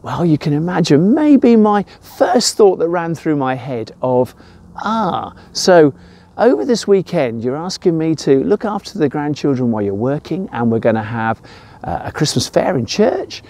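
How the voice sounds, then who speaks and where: Older man, English accent, mellow tone, lively swooping intonation, informal church devotional. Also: fairly high pitch, normal speed. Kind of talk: informal devotional talk with personal anecdotes.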